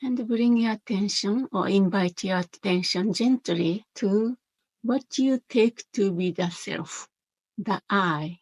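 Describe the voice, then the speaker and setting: A woman teaching a guided meditation in a gentle, unhurried manner, pausing between short phrases. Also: mid-range voice, no room sound, low-quality recording.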